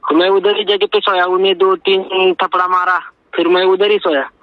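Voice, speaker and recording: A man's voice: high voice, level tone, normal speed. A man from Maharashtra, a native Marathi speaker with a Marathi accent, talking casually as a caller and repeating his words. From Maharashtra, India. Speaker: caller, follower of a guru. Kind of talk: casual phone conversation. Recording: muffled narrow-band phone call.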